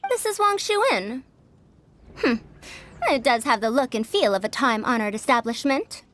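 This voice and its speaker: A woman's voice: a little high, expressive, moderate pace, fairly bright tone, slight background noise. A woman voicing a pompous, theatrical, girlish-sounding scholar lady in an anime game. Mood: grand, demanding. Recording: music behind the voice.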